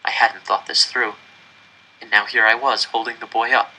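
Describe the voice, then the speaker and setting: A man's voice: fairly low pitch, level tone, normal speed, bright, forceful tone, slightly rough, rough recording. A man reading a first-person story aloud dramatically, with an earnest, intense and emphatic delivery. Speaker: audiobook reader.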